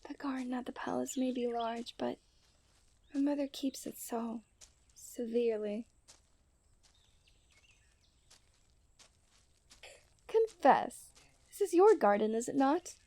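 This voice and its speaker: Woman acting a mellow, genteel fairytale princess. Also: on the high side, moderate pace, no room sound. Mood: admiring, playful. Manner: formal, genteel fairytale phrasing with breathy sighs. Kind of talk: scripted romantic ASMR roleplay.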